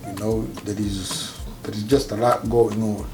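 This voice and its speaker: A man's voice: on the low side, flat delivery, a little slow, music in the background. A man from Rwanda with a Rwandan accent, telling personal stories casually as an interview guest. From Rwanda, grew up partly in Kenya.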